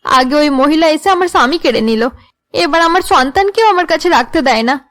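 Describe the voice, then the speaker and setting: A woman's voice: high voice, animated, fairly quick, a bit bright. A woman voicing a put-upon young wife and mother in a cartoon drama, pleading and aggrieved. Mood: despairing.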